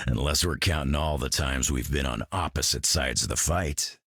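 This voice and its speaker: A gruff old-campaigner hero for a video game. His extremely low voice is gravelly and husky, with wry, weary delivery. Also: measured pace, a bit bright, dry recording, clean studio sound, heavily acted. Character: gruff, world-weary veteran male brawler.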